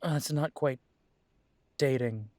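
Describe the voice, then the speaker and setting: A man playing a slow, earnest young werewolf. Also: medium pitch, a little mellow, no echo. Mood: insistent. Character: young adult male werewolf son, earnest and proud. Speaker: audio drama creator and lead voice. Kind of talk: audio drama acting.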